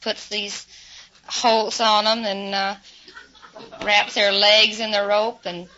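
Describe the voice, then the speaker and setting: A woman's voice: medium pitch, flat delivery, slow, pushed and bright, a little hiss or hum. An American woman chatting as a contestant on an old radio quiz show, with a muffled vintage sound. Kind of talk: conversational answers to a host.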